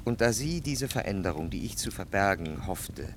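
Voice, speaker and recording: A jealous lover in a radio drama, solemn and full of sorrow. His voice sits fairly low and he phrases deliberately with heavy pauses. There is faint noise and ambience behind him. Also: very animated. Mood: sorrowful, solemn.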